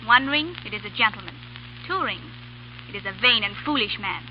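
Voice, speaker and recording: An actress voicing a spirited, defiant young castaway woman who speaks halting, in a 1930s radio drama. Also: a little high, animated, natural voice. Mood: saucy. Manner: halting, accented, saucy. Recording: muffled old radio broadcast with faint hiss.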